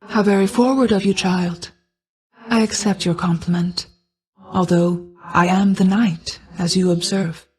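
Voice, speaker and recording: A woman voicing an ancient, stately goddess of night in a video game, a stern but caring mother who speaks in a formal, measured, regal way. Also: medium pitch, steady tone, darkish tone, breathy edge. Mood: serene, gracious.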